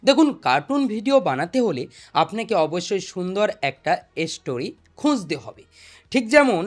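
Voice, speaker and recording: A man's voice: high voice, sing-song, normal speed. A young man presenting a step-by-step spoken tutorial. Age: young adult. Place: small indoor desk studio. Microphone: desk microphone.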